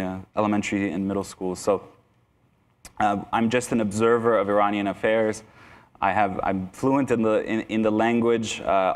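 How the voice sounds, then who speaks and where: Soft-spoken young man lecturing with restarts. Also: lowish voice. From Iran. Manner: conversational, self-deprecating, frequent restarts and fillers. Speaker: guest speaker. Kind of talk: lecture to teachers. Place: conference hall, indoors, large. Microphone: lapel mic.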